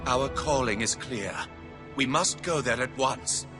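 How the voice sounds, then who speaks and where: An actor playing a pious, regal alien high prophet in a game cinematic, pompous and reverent, over loud music. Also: male voice, a little slow, close to an everyday voice. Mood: exalted resolve. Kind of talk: sci-fi game cinematic acting.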